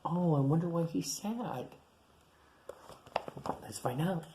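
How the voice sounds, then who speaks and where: A man who teaches young children, reading a picture book aloud in a playful, animated way with gasps and chatty asides. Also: on the high side, slow, background noise. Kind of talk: storybook read-aloud for children.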